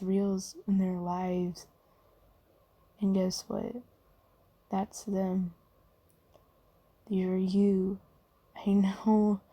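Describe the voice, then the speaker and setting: Kindly female demon played by a woman for ASMR comfort roleplay: very dark, soft tone, slow, hesitant, reassuring. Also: medium pitch. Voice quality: soft, breathy, half-whispered. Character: kindly apologetic female sleep paralysis demon, gentle comforter.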